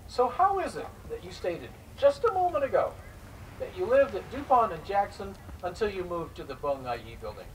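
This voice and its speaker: A man's voice: sits high, sing-song, moderate pace. A man playing a stern, suspicious immigration interrogator of the 1910s, formal and probing, in a dramatic reading. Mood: suspicious, curt. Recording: distant microphone in noisy live room.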